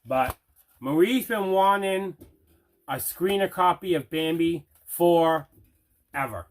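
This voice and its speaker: Man, somewhat high-pitched voice, collector vlog host, casual chat, emphatic repetition. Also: takes it slowly. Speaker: collector and video channel host.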